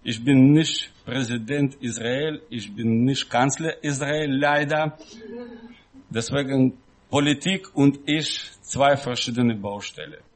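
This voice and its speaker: Slow-speaking man with a foreign accent. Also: mid-range voice. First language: not German. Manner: halting, simple broken phrasing, emphatic repetition. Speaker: guest preacher. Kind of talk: sermon-like teaching talk to a congregation.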